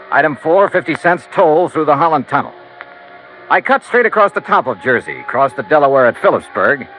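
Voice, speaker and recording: An actor playing a wry, unflappable insurance investigator in an old American radio drama, talking in a crisp, clipped way. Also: male voice, fairly high pitch, pushed and bright. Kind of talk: 1950s American radio drama, first-person narration and dialogue. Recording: lo-fi vintage radio recording.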